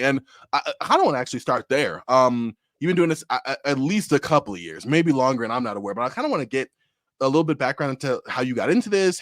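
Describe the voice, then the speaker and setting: A man hosting a basketball podcast, hyped and energetic, in casual chat with a guest. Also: medium pitch, dry recording, clean studio sound. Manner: hyped, energetic, talks fast with restarts. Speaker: podcast host.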